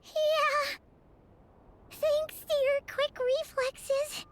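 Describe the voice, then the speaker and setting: A woman voicing a tiny, pert fairy companion in a video game, with a squeaky, childlike voice. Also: flat delivery, very slow, background noise, exaggerated voice. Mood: shaken.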